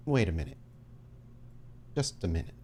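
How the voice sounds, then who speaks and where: A sinister, smirking schemer acted out by a man in a horror roleplay. The voice is low and measured, needling with quiet menace. Background noise is audible. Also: lively intonation. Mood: taunting.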